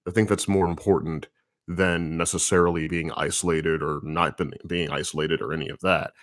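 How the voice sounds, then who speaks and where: Male writer, deep low voice, relaxed and rambly, fielding fan questions, dry sound. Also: normal speed, no echo. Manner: relaxed, rambling, thinking aloud with many fillers. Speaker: comics writer answering viewer questions. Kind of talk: casual livestream Q&A.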